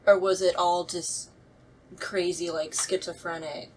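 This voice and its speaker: A woman's voice: lowish voice, little pitch movement, unhurried, slight background noise, low-quality recording. A woman in a casual panel discussion, speaking in an informal, questioning way. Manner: inquisitive, informal.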